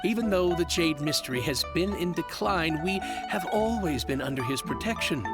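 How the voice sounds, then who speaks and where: A man playing a polite, sentimental jade merchant in a video game, hesitant and wistful in manner. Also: a little high.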